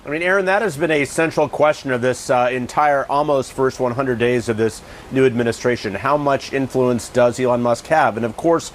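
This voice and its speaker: A man's voice: mid-range voice, moderate pace, hardly any pauses, slight background noise. An American man reporting live as a television news correspondent.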